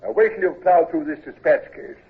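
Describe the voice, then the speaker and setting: A man's voice: fairly high pitch, lively intonation, slightly rough. A man playing a self-assured diplomat lord who delivers big news with a teasing, pleased air, in 1940s radio drama style. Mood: eager. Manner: brisk, pleased with himself, teasing. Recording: tinny old radio transfer.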